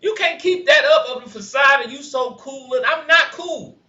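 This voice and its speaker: A man co-hosting a casual podcast who says he has a high voice and a dumb laugh, talking in an animated way that rises to loud points. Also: moderate pace. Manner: animated, laughs often, gets loud when making a point. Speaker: podcast co-host. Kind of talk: casual reaction podcast chat. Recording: roomy, dull lo-fi sound.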